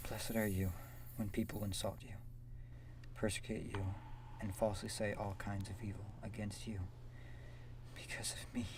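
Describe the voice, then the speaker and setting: Man acting a devout male prisoner, anguished, halting, breathy, low pitch, amateur audio drama, distant mic, noisy recording. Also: level tone, close to an everyday voice. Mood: quiet reciting.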